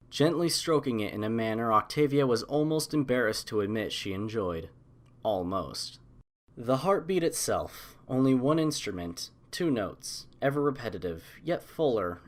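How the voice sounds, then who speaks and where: A man with a mid-pitched voice narrates a piece of fiction. He reads from a script as an audiobook storyteller. His delivery is measured and expressive.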